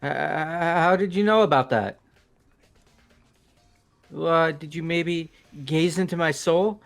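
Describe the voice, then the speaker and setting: A man's voice: fairly high pitch, takes it slowly, vocal fry, faint music behind. A man voicing a goofy, bumbling, evasive young character in a video game read-through, hesitant and casual. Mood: surprised. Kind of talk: hobby video game voice acting.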